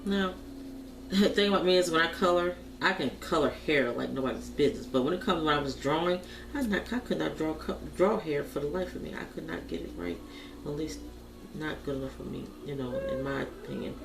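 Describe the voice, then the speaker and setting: A woman's voice: sits low, lively intonation, brisk, creaky. A woman chatting casually and thinking aloud in a folksy, informal way as she hosts a colouring vlog. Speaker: host of a colouring tutorial vlog. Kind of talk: casual chat while colouring. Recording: roomy, noisy, distant home camera mic.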